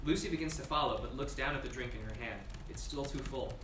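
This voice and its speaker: A man's voice: mid-range voice, fast. A man reading scene directions as scripted narration at a screenplay table read. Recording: echoey room, distant mic, noisy.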